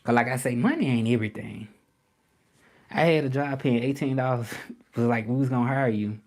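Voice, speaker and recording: Man in his own livestream, mid-pitched, casual and repetitive, addresses viewers directly. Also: brisk. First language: English. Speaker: livestreaming creator and language tutor.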